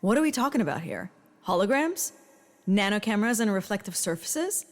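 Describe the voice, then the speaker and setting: A woman's voice: mid-range voice, big pitch swings, fairly quick, long reverb tail, natural voice. A woman playing a blunt, sarcastic spy teammate with a dry, snarky manner in a comedy audio drama. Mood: skeptical. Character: sarcastic, blunt female spy teammate with tech know-how.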